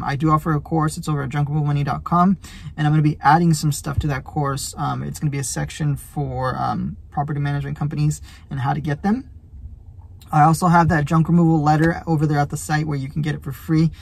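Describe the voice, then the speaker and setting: Husky man giving casual business tips. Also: fairly high pitch, little pitch movement, fast. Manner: conversational, explanatory. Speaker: junk removal business owner giving tips. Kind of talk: casual advice vlog. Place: inside a parked truck cab.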